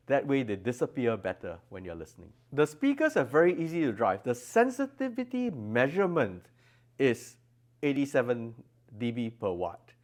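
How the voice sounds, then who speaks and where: A man presenting products as a designer at a trade show, explaining technical details with emphasis. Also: medium pitch. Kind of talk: product demonstration in an interview. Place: exhibition room at an audio show.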